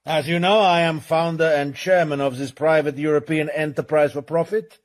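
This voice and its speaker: A man acting in a radio drama as the coldly authoritative chairman of a criminal syndicate, formal, measured and commanding. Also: on the high side, fairly even intonation, close to an everyday voice.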